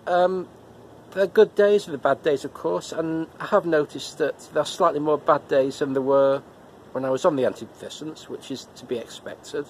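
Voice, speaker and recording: A man's voice: on the high side, a little hiss or hum. Chatty middle-aged man with a head cold. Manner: chatty, halting with repeated words. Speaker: vlogger reviewing episodes. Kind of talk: casual personal vlog talk. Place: living room indoors, and a car park outdoors at night.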